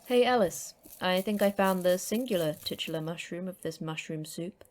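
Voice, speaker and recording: Woman playing a terse, deadpan base manager. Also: fairly low pitch, moderate pace, close to an everyday voice. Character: terse female manager of a secret base, dry wit. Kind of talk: audio drama acting.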